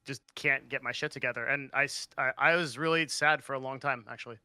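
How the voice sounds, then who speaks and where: A man talking on a podcast as a guest, halting and self-conscious, swearing often. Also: mid-range voice, fairly even intonation, bright, forceful tone, no room sound, clean studio sound. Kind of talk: casual podcast chat.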